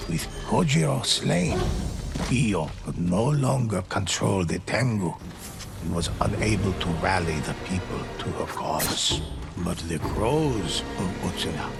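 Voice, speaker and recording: A man playing a grave storyteller, narrating dark samurai legends in a measured, ominous manner for a game. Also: lively intonation, heavily acted. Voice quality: deep, gravelly. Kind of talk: scripted dramatic legend narration. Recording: loud music under the voice.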